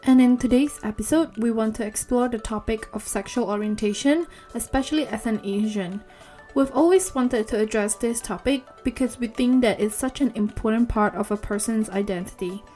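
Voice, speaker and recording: A woman's voice: fairly high pitch, normal speed, warm tone, faint music behind. A woman hosting a podcast interview, giving prepared introductions and questions. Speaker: podcast host.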